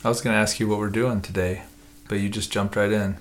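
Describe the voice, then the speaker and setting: A man co-hosting a podcast, chatting casually in a relaxed, self-deprecating way. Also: on the low side, fast. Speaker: podcast co-host. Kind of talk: casual chat.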